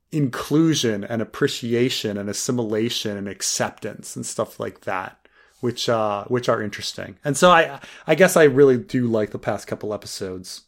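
A man co-hosting a podcast, chatting casually and rambling with many fillers and restarts. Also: medium pitch. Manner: laughs while joking. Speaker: podcast co-host. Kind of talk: casual review chat.

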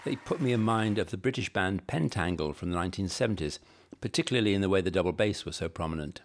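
A man presenting a radio music segment in a measured, unhurried way. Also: low-pitched, animated, a little hiss or hum. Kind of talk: scripted radio link.

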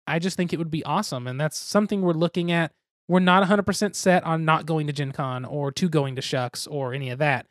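A young man with a fairly high voice co-hosts a casual podcast, rambling along and joking at his own expense. The sound is dry and close, with no room around it. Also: fairly quick, dry recording. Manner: rambling, self-deprecating, jokey. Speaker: podcast co-host.

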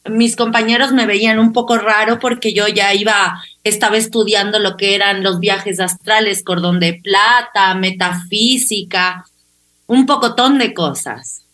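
A woman's voice: medium pitch, fairly even intonation, a little slow, fairly bright tone. A woman from Ecuador, telling personal stories conversationally as an interview guest. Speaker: interview guest, clinical psychologist. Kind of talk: conversational storytelling.

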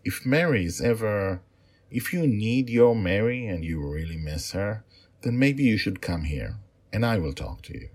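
A man voicing a deep-voiced, half-eagle half-man general who speaks softly and warmly to a child. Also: lively intonation, moderate pace. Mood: soothing. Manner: soft, warm, soothing.

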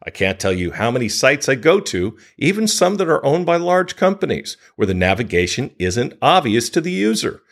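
Very animated man reading advice. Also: medium pitch, normal speed, creaky, hardly any pauses. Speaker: podcast host giving a business tip. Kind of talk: scripted advice narration.

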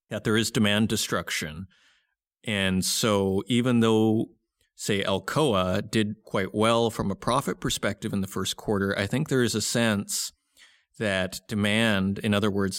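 Low-voiced man hosting a market podcast. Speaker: podcast host. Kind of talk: market news commentary, conversational.

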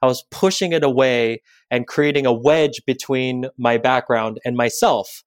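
A man's voice: mid-range voice, unhurried, dry recording. A man whose first language is Korean, a podcast guest speaking thoughtfully and telling a personal story. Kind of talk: reflective conversational explanation.

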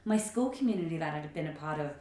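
A woman hosting a casual panel discussion, chatty and informal as she tells stories in an animated way. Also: low voice, quick pace. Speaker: podcast host. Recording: echoey room.

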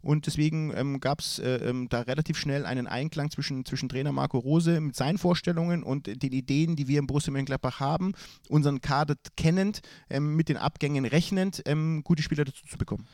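Man with a cold, halting answers. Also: on the high side, fairly even intonation, darkish tone, no room sound, studio quality. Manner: hesitant, many fillers and restarts. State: has a cold. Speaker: football club sporting director. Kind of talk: relaxed interview answers.

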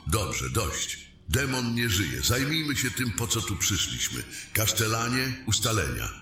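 A man playing a measured, decisive king in a fantasy audio drama, speaking formally and with command. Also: fairly low pitch, big pitch swings, sharp tone, soft background music, heavily acted. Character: measured, decisive fantasy king chairing his war council. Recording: roomy echo with faint noise.

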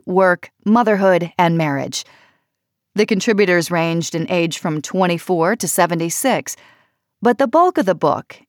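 A woman reading a personal essay as audiobook narration, in measured, expressive phrasing. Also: on the low side, no room sound, studio quality.

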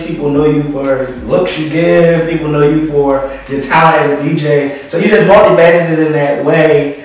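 A man hosting a podcast, who asks questions in a relaxed, encouraging, slangy way. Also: fairly high pitch, distant mic. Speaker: podcast host. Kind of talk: casual interview. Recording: roomy echo, from off-mic.

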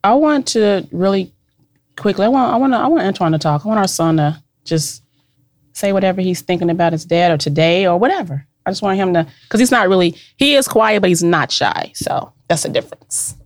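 A woman's voice: mid-range voice, animated, a little fast, dry recording, clean studio sound. A congested, nasal-sounding woman co-hosting a casual podcast, chatty with lots of fillers. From Cincinnati area. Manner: warm, chatty, hesitant with fillers. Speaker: podcast co-host.

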